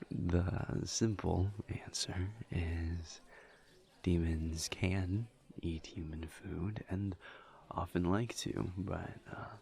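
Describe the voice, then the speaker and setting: A man performs a gentle incubus in an intimate audio roleplay. His voice sits very low and breathy, often close to a whisper, with a heavy fry. He talks slowly and evenly, choosing words with tender, reserved sincerity. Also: full character voice. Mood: relaxed, amused. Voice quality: breathy, soft, near-whispered, gravelly low voice. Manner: slow, halting, tender and sincere. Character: gentle, earnest, reserved male incubus demon friend. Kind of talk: intimate audio roleplay, one side of a conversation.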